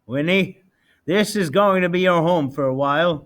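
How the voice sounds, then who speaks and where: A man's voice: high-pitched, moderate pace, fairly bright tone. Man reading as a tender, reassuring young soldier. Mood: gentle explaining. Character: kind young soldier comforting his beloved pet bear.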